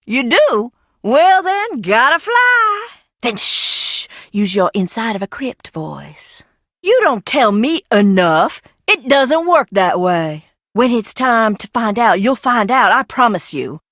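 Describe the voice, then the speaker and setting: An unhinged, bitter woman hiding in a crypt for a video game. Her high voice swings widely as she moves between giggles and menace, drawing words out slowly and theatrically. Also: sing-song, fairly bright tone, no echo, clean studio sound, full character voice. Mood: teasing, evasive. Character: eccentric, bitter, unhinged younger sister living hidden in a crypt. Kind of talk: video game character acting.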